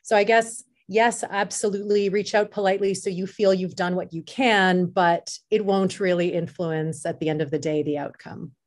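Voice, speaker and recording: A woman's voice: medium pitch, steady tone, normal speed, hardly any pauses. A woman speaking conversationally as a film festival organizer in an interview, direct and plainspoken, in short phrases.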